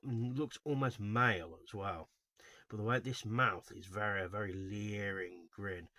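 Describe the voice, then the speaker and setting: A man with a fairly low voice talks informally to his viewers, unscripted. His delivery is halting and rambling, and he often restarts and corrects himself. The sound is dry and close, with little room in it. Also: a bit bright, no echo. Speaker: host of a video series on album cover art. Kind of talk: informal unscripted video commentary.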